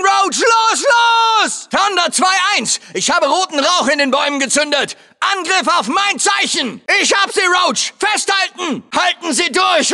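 An actor playing a tough, commanding special forces soldier in a German video game dub, barking clipped military orders with urgent authority. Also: male voice, extremely high pitch, bright, forceful tone, exaggerated voice. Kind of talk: German game dub, barked combat callouts.

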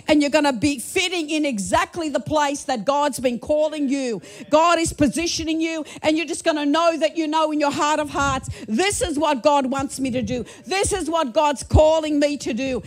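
Fervent woman prophesying into a handheld mic. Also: sits high. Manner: fervent, repetitive, rising to shouts of praise. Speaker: prophetic minister. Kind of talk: prophetic ministry over church members. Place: small church hall, indoors.

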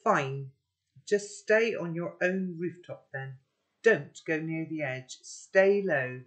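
A woman's voice: low-pitched, slow pace. An older woman reading the lines of a guarded, stern teenage boy, curt and commanding.

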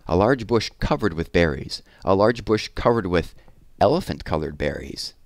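A man in his thirties reading a children's story aloud expressively, with deliberate pauses and drawn-out words, on a headset mic. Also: low-pitched, lively intonation, no echo, clean studio sound. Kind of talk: children's read-aloud narration. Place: small room at home, indoors.

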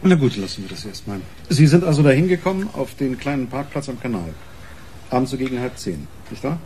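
Actor as a fast-talking male police interrogator. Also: medium pitch, expressive, mellow, a little hiss or hum, lightly acted. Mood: pressing, impatient. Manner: insistent, dry. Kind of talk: naturalistic radio crime drama acting.

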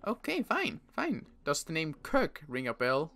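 A man playing a wary adult survivor in an improvised game roleplay, talking in a wry, halting way with frequent restarts. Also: on the high side, huge pitch swings, vocal fry, pushed and bright. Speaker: roleplay player.

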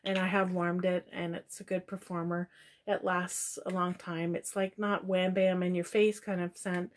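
A middle-aged woman chatting casually through a product review, tired and slowing down, in a small dim room at her webcam. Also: on the low side.

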